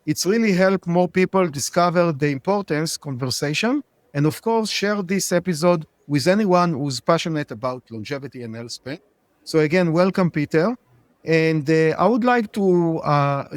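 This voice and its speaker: A man hosting a podcast interview, speaking with halting, hesitant phrasing. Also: a little high, no room sound. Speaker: podcast host.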